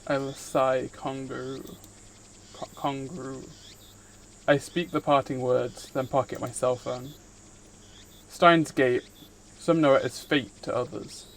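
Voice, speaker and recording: A man's voice: medium pitch, fairly even intonation, slow pace, a little hiss or hum. A man live streaming, reading visual novel narration aloud and chatting casually with viewers in between. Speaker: streamer, VTuber host.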